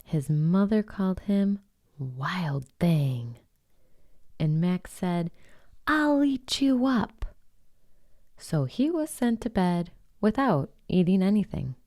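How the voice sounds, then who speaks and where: A woman reading a children's picture book aloud as host, in an expressive storytelling manner with dramatic pauses. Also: on the low side. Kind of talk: slow bedtime storybook read-aloud.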